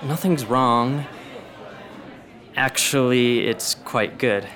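A man's voice: medium pitch, extremely slow, fairly bright tone. A man playing a guarded but easygoing teenage prep school boy in a radio drama, speaking in an understated, hesitant way. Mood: confiding.

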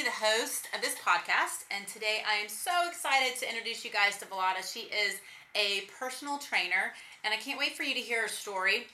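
Upbeat woman podcast host, bright voice. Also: fairly high pitch, roomy sound. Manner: upbeat, giggly, uses fillers. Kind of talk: casual interview.

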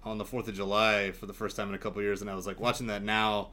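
A man talking casually and enthusiastically in a film discussion, in a halting, rambling way. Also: fairly low pitch, level tone, pushed and bright. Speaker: podcast panelist.

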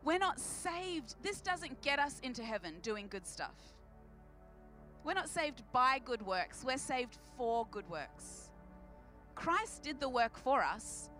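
Forceful high-voiced Australian woman preacher. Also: big pitch swings, slow pace, soft background music. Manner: emphatic, repeating phrases for effect; big, projecting voice. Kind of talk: sermon to a church congregation. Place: church service.

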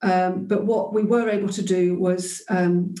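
A woman's voice: lowish voice, steady tone, a little slow, dark tone, echoey room. A woman guest in an interview, reflecting thoughtfully on her teaching days, with frequent ums and restarts. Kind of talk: reflective conversational storytelling.

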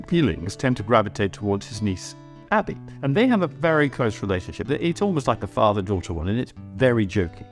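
A man in his sixties talking reflectively in a casual interview as a writer, halting and repeating words as he thinks. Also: on the low side. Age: 60s. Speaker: writer and voice actor. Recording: music behind the voice. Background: plucked guitar music.

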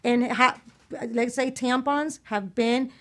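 A woman's voice: on the high side, fairly even intonation, takes it slowly, fairly bright tone. A woman co-hosting a radio talk show, chatting casually and explicitly in a blunt, opinionated, sweary way.

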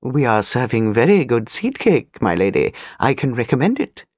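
A woman's voice: rumbling low, very animated, a little mellow, dry recording, clean studio sound, voice pushed down, exaggerated voice. Woman playing a courtly, deferential middle-aged butler. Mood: soothing, deferential. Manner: deferential, measured, polished. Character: courtly, avuncular fifty-year-old male butler.

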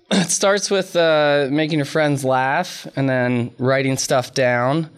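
A man's voice: fairly high pitch, slow, clean tone. A man who works as a comedian, chatting casually and self-deprecatingly as an interview guest.

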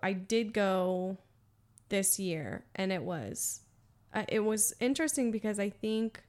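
A woman hosting a podcast from the United States, chatting casually, animated and emphatic, laughing often. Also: medium pitch, slow pace. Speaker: podcast host. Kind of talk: casual conversation.

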